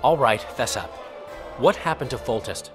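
A man's voice: medium pitch, animated, moderate pace, close to an everyday voice. An actor playing a cheeky, eloquent male poet in a video game, talkative and a little flowery. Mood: prying. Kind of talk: video game character acting. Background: music.

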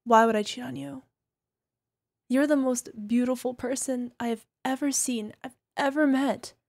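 A woman's voice: high-pitched, steady tone, vocal fry, a little mellow. A woman acting as a toxic, gaslighting young girlfriend in a realistic ASMR roleplay, dismissive and exasperated. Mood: defensive, exasperated. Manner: exasperated sighs, scornful, halting defensive delivery. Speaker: voice actor.

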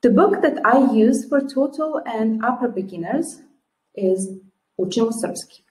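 Slow, careful Serbian-accented woman teacher. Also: on the high side, animated, darkish tone. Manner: slow and clear, with careful word-by-word modelling. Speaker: language teacher. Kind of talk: teacher's introduction video. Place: small room at home, classroom posters behind. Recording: echoey room.

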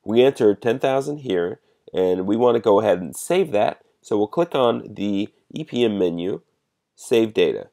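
Guy with a lowish voice walking thru software step by step, measured and explanatory. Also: expressive. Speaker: software demonstrator. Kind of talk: step-by-step software demo narration.

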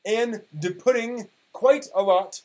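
This is a man in his forties with a voice a little higher than usual. He reads an online post aloud in a theatrical, mock-dramatic style. His delivery is emphatic and sometimes halting. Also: darkish tone. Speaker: performer reading old forum posts. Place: small room at home, indoors.

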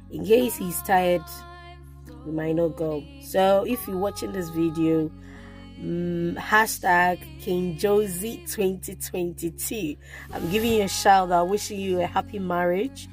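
A woman's voice: lowish voice, vocal fry, a little mellow. A woman in her thirties vlogging casually from a car, talking to a phone at arm's length and chatting with fillers as she gives friendly advice. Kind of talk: casual vlog talk to camera. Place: inside a parked car.

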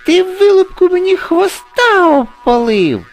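Guy doing a storybook crow lady, high and squawky, cranky, nagging, swoops all over, faint music behind. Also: huge pitch swings, slow pace, full character voice. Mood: outraged. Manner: squawking, scolding. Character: hungry cranky female crow, loud, scolding, squawking.

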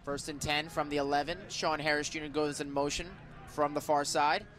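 An American man working as a radio play-by-play announcer, calling live football in an excitable, emphatic manner. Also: on the high side, normal speed, faint music behind. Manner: excitable, builds to emphatic calls on big plays.

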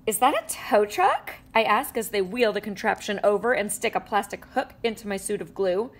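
A woman reading a children's picture book aloud with animated storytelling and playful sound effects. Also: medium pitch, moderate pace. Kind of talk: expressive read-aloud. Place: indoors at a table with a book.

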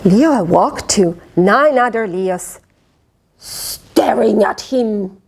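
A middle-aged woman reading a picture book aloud to children in an expressive, dramatic way, with playful asides. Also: mid-range voice, slow pace, creaky, husky. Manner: expressive, dramatic, with gasps, sighs and questions to the listener. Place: indoors, small fabric tent with cushions. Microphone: lapel.

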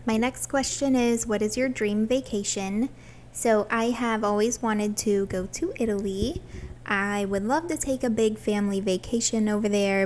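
A woman's voice: medium pitch, darkish tone, slight background noise, poor audio. A woman in her thirties from Florida, chatting cheerfully through a cleaning vlog and answering viewer questions, filmed on a phone in her home bathroom. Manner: cheerful, chatty, laughs often. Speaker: vlogger. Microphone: phone camera across the room.

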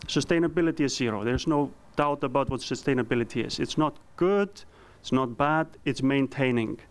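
A man in his thirties from Iceland with an Icelandic accent, giving a conference presentation with a handheld mic, earnest and halting with frequent ums. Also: medium pitch, clean tone. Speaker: company founder giving a presentation. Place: conference room.

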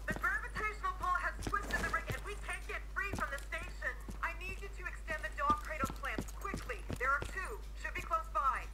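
An actress playing an urgent, commanding spaceship officer giving emergency orders in a video game. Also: female voice, very high voice, fairly quick, pauses often. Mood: alarmed, urgent. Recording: loud game noise over the voice.

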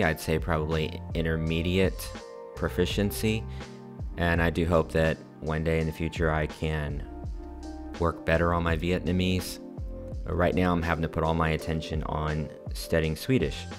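American guy doing vlog voiceover, real low voice, pretty even, calm and earnest, says y'all, soft music under it. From United States. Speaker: vlogger. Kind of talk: voice-over narration over scenic footage.

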